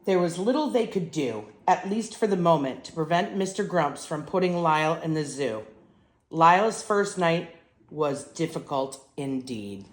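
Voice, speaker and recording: An older woman reading a children's storybook aloud as a grandmother would, in an expressive, emphatic way. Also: sits low, unhurried, reverberant.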